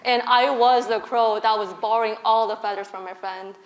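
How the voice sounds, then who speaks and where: A woman from Korea, a guest on stage, tells her personal story openly and earnestly with soft laughs. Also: on the high side, steady tone, normal speed, reverberant, low-quality recording. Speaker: guest speaker.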